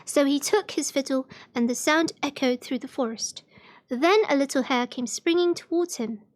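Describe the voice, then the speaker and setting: High-pitched female voice, expressive storybook reading, livestream fairy tale narration. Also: normal speed.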